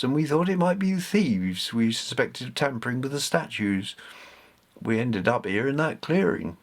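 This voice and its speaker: A man voicing a puzzled, unschooled estate workman in a storybook, speaking in a plain colloquial way. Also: medium pitch. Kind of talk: storybook character voice.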